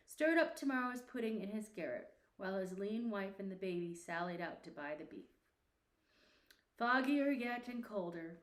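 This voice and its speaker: Woman reading an old storybook out loud, expressive n theatrical, kinda echoey room, medium pitch. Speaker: audiobook narrator. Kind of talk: expressive scripted storybook narration.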